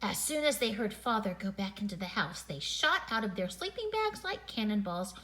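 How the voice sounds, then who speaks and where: A woman's voice: mid-range voice, very animated, moderate pace, bright, forceful tone. A woman reading a children's picture book aloud, expressively, as a storytime host who acts out the dialogue.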